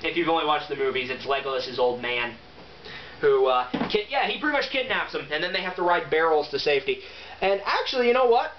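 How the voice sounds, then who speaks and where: A man's voice: a little high, sing-song, brisk. A man giving an amateur book review in a casual, rambling, irreverent way, correcting himself as he goes. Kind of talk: casual rambling review with some reading aloud. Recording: muffled, noisy, roomy, distant mic.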